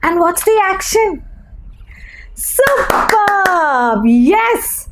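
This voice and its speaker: A woman teacher leading a phonics lesson for young children, enunciating deliberately and giving encouraging prompts and praise. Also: very high voice, background noise. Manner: animated, encouraging, deliberate enunciation with repeated call-and-response questions.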